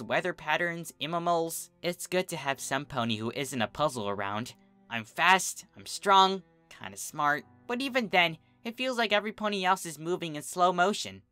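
A man voicing a brash, excitable, insecure tomboy pony in a fan story reading. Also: on the high side, clear, resonant tone, no room sound, voice pushed up. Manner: cocky yet vulnerable, excitable.

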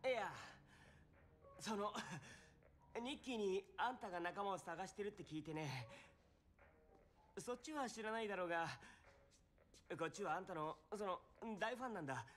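A man's voice: high voice, animated, moderate pace, pauses often, a bit bright, slight background noise. A man acting an eager, nervous young street-racing fan in a Japanese game dub, hesitant and earnest. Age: young adult.

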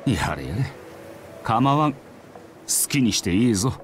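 A Japanese actor playing a brisk, commanding but easygoing military squad captain in an anime game. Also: male voice, medium pitch, very animated, soft background music. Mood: casual.

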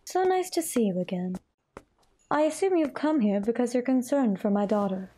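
A woman's voice: a little high, lively intonation, moderate pace, mellow. A woman voicing a domineering aristocratic mother in an anime fandub, speaking formally with composed, polite condescension. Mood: icily polite. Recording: music behind the voice.

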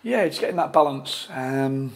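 A football manager in his forties giving a post-match interview from a press room, talking in a measured way with frequent restarts and fillers on a lapel mic. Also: mid-range voice, animated. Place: press room in front of a sponsor board.

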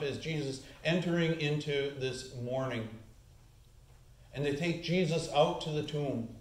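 A man's voice: mid-range voice, a little mellow. A man in his forties preaching a sermon as pastor, earnest, lifting his voice on the key points, filmed from a distance at the lectern. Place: church sanctuary, at the lectern. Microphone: far camera. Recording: echoey church room.

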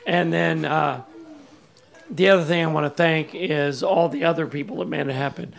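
A man's voice: on the high side, steady tone, normal speed, vocal fry. A man in his sixties, the groom, giving a wedding toast with thanks and wry asides. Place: candlelit tent at a dinner party. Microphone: handheld mic.

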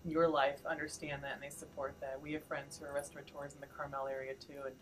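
A woman's voice: rumbling low, level tone, quick pace, slight background noise, rough recording. A woman in her thirties hosting an interview, upbeat and affirming as she asks questions. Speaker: interviewer and blog host. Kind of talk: friendly interview. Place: indoor store event, seated before a branded backdrop.

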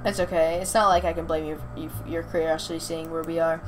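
A woman's voice: deep voice, flat delivery, quick pace, music in the background, lightly acted. A woman voicing a proud, irritable young witch cat-girl in a visual novel, with a haughty, scolding manner.

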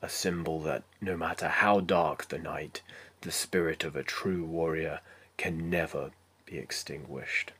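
Guy doing a deep raspy knight in armor, grim n defiant, slow grand movie monologue. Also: steady tone, pushed and bright, full character voice. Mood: defiant, solemn. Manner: slow, grandiose, solemn declamation with breathy, near-whispered phrase endings. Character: resolute, battle-worn male armored knight, defiant against demons. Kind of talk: dramatic film audition monologue.

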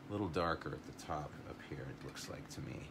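A middle-aged man teaching a watercolor lesson live, thinking aloud in an unhurried way as he paints at a table. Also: very deep voice, creaky, noisy background. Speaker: art teacher.

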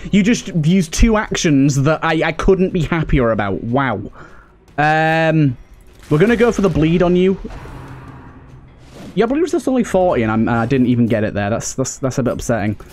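Higher-voiced man doing casual game commentary. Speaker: let's play host. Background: video game music.

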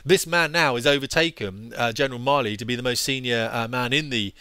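Man in his forties, headset mic, quick bright ranting delivery, dry close sound. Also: medium pitch, smooth, no room sound. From United Kingdom. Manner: indignant, ranting, emphatic. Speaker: YouTube commentator, former fighter pilot. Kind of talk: opinionated commentary over on-screen articles.

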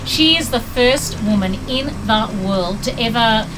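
An Australian woman hosting a vlog interview, bubbly and excitable, talking straight to her viewers, outdoors at night on a handheld camera. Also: on the high side, unhurried, over music. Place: outdoors at night in a resort village. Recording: noisy outdoor night recording.

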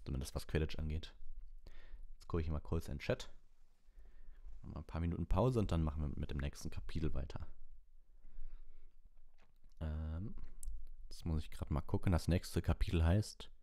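A man with a very low, dark and mellow voice hosts an audiobook livestream. He sits close to the mic, and the sound is dry with no room around it. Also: moderate pace, long pauses, no room sound. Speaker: audiobook reader and livestream host. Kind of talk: story narration and casual livestream chat.

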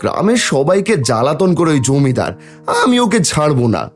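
A man's voice: fairly high pitch, very animated, fairly quick, soft background music. A man voicing a mischievous, bold and defiant village boy in a cartoon, with a taunting, sing-song manner. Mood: scheming. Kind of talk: cartoon voice acting.

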